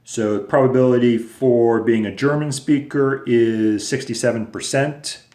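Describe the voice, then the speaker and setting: A man's voice: medium pitch, steady tone, measured pace, a little mellow. A man teaching a recorded lesson, explaining patiently step by step with casual fillers. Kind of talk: recorded lecture video. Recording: echoey room.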